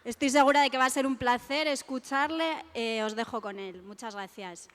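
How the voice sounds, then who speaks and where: A woman's voice: a little high, normal speed. A woman introducing a speaker at a public talk, a little nervous and informal. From Spain. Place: lecture hall. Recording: long hall reverb.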